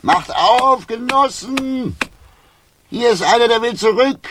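An actor playing a blunt, mocking homeless man in a radio play, with a gravelly voice. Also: lively intonation, slow pace, exaggerated voice. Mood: mocking, excited. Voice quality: very deep, gravelly. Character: coarse, sardonic homeless drifter, ex-lathe worker from Kreuzberg. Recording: 1980s radio drama with faint noise.

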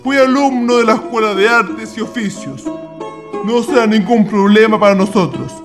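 A man's voice: high voice, moderate pace, music in the background, lightly acted. A man playing a courteous, earnest Chilean idealist in a radio drama.

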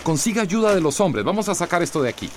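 A man's voice: high-pitched, big pitch swings, a little hiss or hum. A man playing an earnest, principled doctor in a radio drama, emphatic and commanding. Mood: brisk, concerned. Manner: emphatic, scolding, brisk. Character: earnest, indignant relief doctor, principled and bossy.

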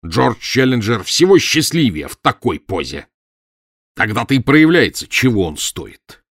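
Man doing an irate older professor, bellowing and scornful, gruff, very lively pitch, dry close sound. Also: a little slow, dry recording, heavily acted. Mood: curtly dismissive. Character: irascible short bull-necked male professor, older.

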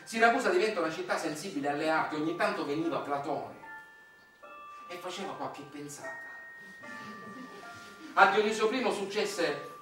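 Echoey high-voiced Sicilian man, theatrical actor's monologue. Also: expressive, moderate pace, pauses often, a bit bright. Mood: lecturing, wry. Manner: declamatory, colloquial, sardonic. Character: working-class Sicilian refinery clerk and father, wry, impassioned storyteller. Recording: echoey distant stage recording with music.

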